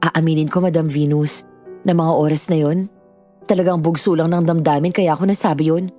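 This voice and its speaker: A woman acts as a young Filipina telling her own scripted story. Her voice is low and mellow, reflective and confessional, with soft music playing behind. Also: steady tone, brisk, close to an everyday voice. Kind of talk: first-person scripted horror-story narration.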